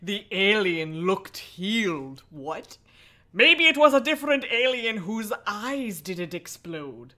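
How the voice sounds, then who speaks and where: A woman's voice: medium pitch, very animated, slow pace, sharp tone. A woman hosting a casual livestream, chatting playfully and irreverently, with asides and swearing as she reads aloud. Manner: chatty, often breaks off into asides. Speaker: livestream host reading a book aloud. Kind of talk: casual livestream chat mixed with mocking dramatic read-aloud.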